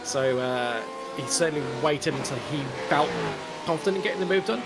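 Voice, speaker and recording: A man with an Australian accent calling a live sim race as commentator, carrying a cold, steady and explanatory. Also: a little high, over loud music. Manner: measured play-by-play, explains moves. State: has a cold. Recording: loud game car noise behind.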